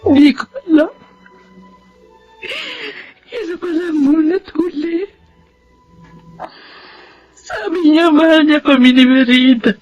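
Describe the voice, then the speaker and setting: A woman's voice: high voice, slow, long pauses, darkish tone, muffled recording, faint music behind. A Filipino woman playing a tender, grieving middle-aged widowed mother in a radio drama. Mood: tearful, regretful.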